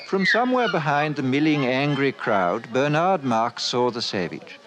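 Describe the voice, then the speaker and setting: A man's voice: mid-range voice, big pitch swings, normal speed, heavy background noise, close to an everyday voice. A man giving grave scripted narration in the manner of a fifties radio drama.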